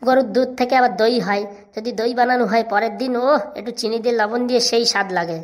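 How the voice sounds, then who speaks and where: A woman's voice: on the high side, fairly even intonation, quick pace, lightly acted. A woman voicing a cheeky, bossy, boastful village schoolboy in a comic cartoon, rattling on self-assuredly. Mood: eager reciting.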